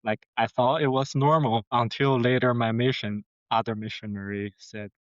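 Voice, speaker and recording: A young man with a Mandarin Chinese accent recounts his story earnestly, pausing and restarting as he finds his English words. His voice is smooth and clear, recorded close and dry with a slightly dull, lo-fi tone. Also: mid-range voice, fairly even intonation, dry recording. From China. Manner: earnest, halting, frequent restarts. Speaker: podcast guest. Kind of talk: personal storytelling.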